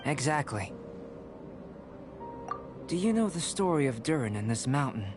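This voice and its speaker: A man voicing a calm, scholarly young male alchemist in a video game, giving measured, polite explanations over game music. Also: medium pitch. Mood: composed.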